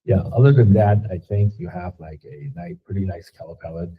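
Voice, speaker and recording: A man's voice: deep voice, a little fast. A man, a class instructor, giving casual, hedging feedback on student work with lots of fillers. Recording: tinny phone-like sound.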